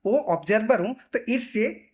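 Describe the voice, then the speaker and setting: A man with a high-pitched voice delivers an informal lecture as a teacher. He explains step by step in a repetitive way, setting up questions and then answering them himself. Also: unhurried, darkish tone.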